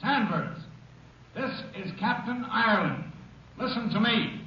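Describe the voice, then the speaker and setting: An American actor playing a stern police captain calling out commands in a 1950s radio drama. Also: male voice, very high voice, lively intonation, a little slow, dull audio. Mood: stern, commanding. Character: stern police captain shouting orders from outside. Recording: distant, echoey, noisy old radio.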